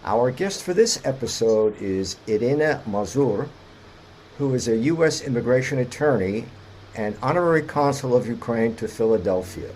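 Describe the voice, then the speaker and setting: A man's voice: medium pitch, animated, normal speed, a little mellow, rough recording. Man hosting a podcast, faint hiss. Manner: formal, read-from-script delivery. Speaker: podcast host and producer. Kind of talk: scripted podcast introduction and questions.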